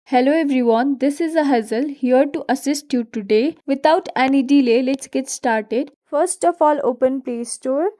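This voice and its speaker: A woman's voice: sits high, moderate pace, few pauses, mellow. A woman hosting a tutorial, narrating scripted how-to instructions step by step. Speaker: tutorial host.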